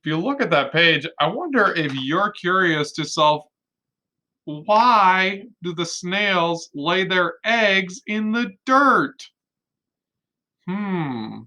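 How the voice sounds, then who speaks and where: A man's voice: on the high side, pushed and bright. A man teaching young children through a read-aloud, explaining simply and expressively with questions and emphasis on key words. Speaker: kindergarten teacher.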